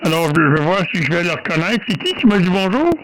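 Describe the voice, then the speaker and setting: Québécois male radio amateur, mid-high voice, light static, casual and chatty. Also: quick pace. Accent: Québécois French. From Quebec. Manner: relaxed, rambling with many fillers. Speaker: ham radio operator. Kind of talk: casual radio contact chat.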